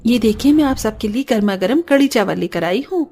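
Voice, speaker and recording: Cartoon poor mother acted by a woman: high, earnest, expressive, gentle and devoted. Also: fairly quick, breathy edge, faint music behind. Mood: pleased.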